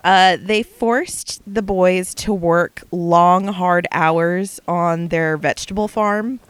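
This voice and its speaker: A young woman tells a true-crime story to her co-host in a loose, chatty way. Her voice is smooth and clear, recorded close and dry with no room around it. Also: fairly low pitch, steady tone, takes it slowly, dry recording. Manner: chatty storyteller, recounting a case with asides. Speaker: podcast co-host. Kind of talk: casual true-crime podcast chat.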